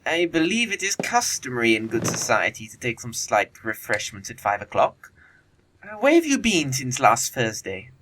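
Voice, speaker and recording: An actor playing a flippant, witty young upper-class English bachelor in an audio play, with arch, lofty, affected phrasing. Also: male voice, medium pitch, huge pitch swings, normal speed, background noise. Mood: teasing. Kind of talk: audio play acting of Victorian comedy of manners.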